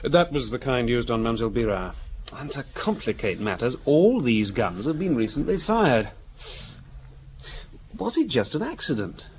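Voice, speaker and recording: An actor playing a helpful, businesslike investigating officer who explains things plainly in a radio drama. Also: male voice, mid-range voice, sing-song, moderate pace, slight background noise. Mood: matter-of-fact. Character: helpful, matter-of-fact investigating officer assisting the inspector.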